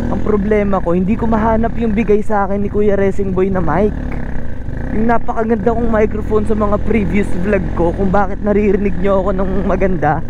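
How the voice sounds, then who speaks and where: A man talking casually and at length to his viewers as a motovlogger, rambling on through a small microphone inside his helmet. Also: high voice. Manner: talkative, rambling. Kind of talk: casual vlog chat to viewers. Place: outdoors on a motorcycle at night. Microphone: small intercom mic wrapped in foam inside helmet cheek pad. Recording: engine and street noise, helmet mic. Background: motorcycle engine and traffic ambience.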